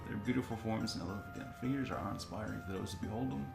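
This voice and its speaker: A man with a low, creaky voice reads aloud in a casual, comedic way. He drops in sarcastic asides as he goes. The room is noticeably echoey, with music playing behind him. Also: fast. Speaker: co-host reading wiki entries aloud. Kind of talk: casual comedic reading with banter. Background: background music.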